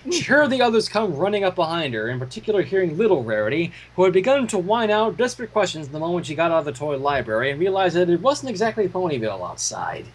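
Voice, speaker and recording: A man giving an expressive, storybook-style narration as he reads fan fiction aloud. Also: sits high, fast, hardly any pauses, low-quality recording.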